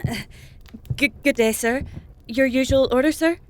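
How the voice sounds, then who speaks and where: An actress playing a deferential refugee bakery shopkeeper in a dark fantasy audio drama, hesitant and careful in her speech. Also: high-pitched, moderate pace, a little hiss or hum, close to an everyday voice. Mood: politely uneasy.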